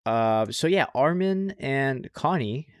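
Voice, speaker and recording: A man's voice: mid-range voice, lively intonation, takes it slowly, clear, resonant tone, dry recording, studio quality. A man co-hosting a casual anime review podcast, chatting informally and often repeating short phrases for emphasis. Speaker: podcast co-host.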